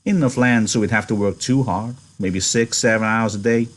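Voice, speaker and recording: A man voicing a practical, guarded yet dreamy male ranch worker with rough, folksy phrasing in a novel reading. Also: on the low side, steady tone, fairly quick, close to an everyday voice. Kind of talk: audiobook-style character reading.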